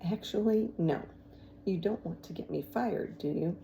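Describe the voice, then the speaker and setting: A woman voicing a kind, encouraging male schoolteacher in a storybook, gentle and lightly teasing. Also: lowish voice, a little slow, gravelly, a little hiss or hum. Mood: wry, easygoing. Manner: gentle, reassuring.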